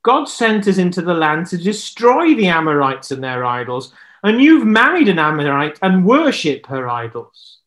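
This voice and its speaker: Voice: medium pitch, sing-song, normal speed, a bit bright. A storyteller voicing a stern, solemn prophet who scolds and warns in a children's Bible story. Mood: scolding. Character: stern, grave adult male prophet.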